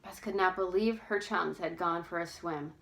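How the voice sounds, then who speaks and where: A woman's voice: lowish voice, moderate pace, a bit bright, poor audio. Woman narrating a novel aloud. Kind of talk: expressive storybook narration.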